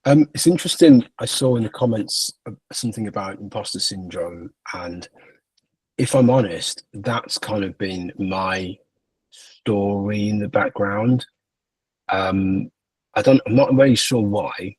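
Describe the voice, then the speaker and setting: A man's voice: lowish voice, unhurried, a little mellow, husky, no echo, low-quality recording. A man with a Birmingham accent, a panelist speaking candidly and hesitantly on an online call. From Birmingham, England.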